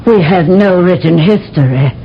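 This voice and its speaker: An actress playing a proud alien empress and high priestess in a 1970s radio drama, speaking in a haughty, formal, measured way. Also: female voice, close to an everyday voice. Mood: guarded, doubtful. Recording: dull, noisy old radio broadcast recording.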